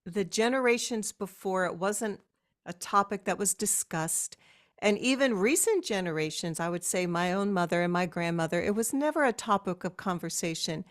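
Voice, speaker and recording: A woman hosting an interview, speaking at an ordinary middle pitch. She responds reflectively and affirms what her guest has said. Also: lively intonation, moderate pace. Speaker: podcast host. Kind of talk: conversational interview.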